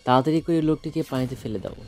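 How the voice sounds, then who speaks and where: A man's voice: mid-range voice, racing, darkish tone, lightly acted. A man playing a scheming kidnapper's henchman in an audio drama. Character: scheming male kidnapper henchman, quick-thinking, servile to boss. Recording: background music under the voice.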